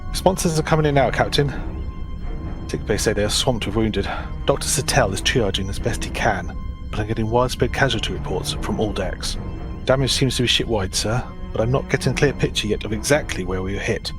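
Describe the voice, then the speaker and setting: A man's voice: fairly low pitch, fairly quick, music in the background, close to an everyday voice. A man playing an urgent, dutiful starship bridge officer in a sci-fi audio drama. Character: urgent, dutiful male bridge officer relaying casualty reports.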